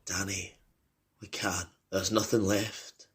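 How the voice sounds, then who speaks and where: An actor playing a grieving, weary male apocalypse survivor in an audio drama, husky and heavy-hearted. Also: deep voice, flat delivery, takes it slowly, fairly bright tone, full character voice. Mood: bleak, resigned.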